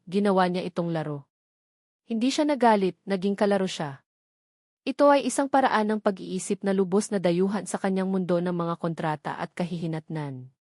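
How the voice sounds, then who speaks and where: A woman's voice: medium pitch, a little mellow, dry recording, studio quality. A woman reading a scripted romantic drama story as narrator.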